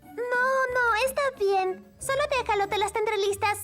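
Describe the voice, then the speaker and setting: An eager young cartoon girl with a tiny, very high voice. She talks slowly and hesitantly, rambling nervously, with music clearly playing behind her. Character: anxious, eager young girl named Morita in a children's cartoon. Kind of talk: animated cartoon dubbing. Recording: background music under the voice.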